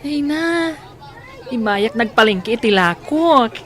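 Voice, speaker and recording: A woman with an Ilocano accent playing a nosy, excitable market vendor in an audio drama. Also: a little high, slow pace, a faint crowd. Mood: excited. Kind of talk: Filipino audio drama acting.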